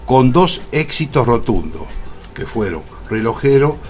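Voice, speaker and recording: An older man with a Rioplatense Argentine accent, explaining things deliberately as a guest in a radio interview. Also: mid-range voice, sing-song, darkish tone, soft background music. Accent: Rioplatense Argentine Spanish. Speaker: interview guest, theatre actor.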